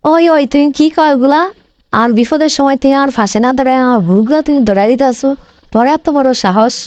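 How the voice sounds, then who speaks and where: A woman's voice: high voice, fast, darkish tone, muffled recording, lightly acted. A woman playing a dramatic, blaming wife and shop boss in a comic cartoon, wailing and scolding in a regional Bangladeshi Bengali dialect. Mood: angry, bitter. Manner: wailing, scolding, repetitive complaining.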